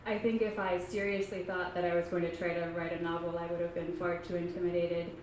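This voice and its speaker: A woman from Kentucky in her thirties, an author speaking softly and haltingly to a library audience, with many pauses and ums. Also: lowish voice, level tone. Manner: soft-spoken, halting, frequent ums. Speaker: author giving a library talk. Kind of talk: casual talk to an audience. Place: public library meeting room. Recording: echoey room, faint noise.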